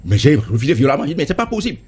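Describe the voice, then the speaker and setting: A man's voice: mid-range voice, sing-song, rapid-fire, slightly rough. A man, a member of parliament arguing in a heated political debate, insistent and often repeating and stammering on words.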